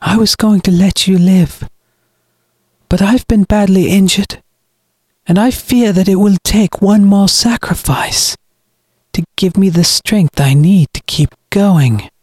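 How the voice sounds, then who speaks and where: A man's voice: high voice, takes it slowly, a little mellow, husky, no echo, studio quality, pitched above the performer's own voice, exaggerated voice. A man voicing a cold, mocking, murderous brother who speaks with grandiose piety in an audiobook. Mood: menacing. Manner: grandiose, sanctimonious, taunting.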